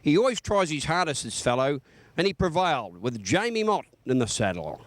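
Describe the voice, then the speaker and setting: A man's voice: a little high, very animated, fairly quick. A man with an Australian accent reading a scripted horse racing report.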